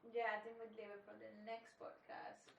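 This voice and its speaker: A woman's voice: fairly high pitch, completely flat delivery, normal speed, creaky, fairly bright tone, far from the mic. A woman from Colombia whose first language is Spanish, co-hosting a podcast in candid casual chat with a Colombian accent. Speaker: podcast co-host. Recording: roomy sound from off mic.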